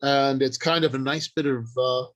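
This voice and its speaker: A man's voice: medium pitch, steady tone, moderate pace, a bit bright. A man giving an informal instructional talk as a writer, explaining things plainly and stressing key points, partly improvising from notes. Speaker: writer and convention panel speaker.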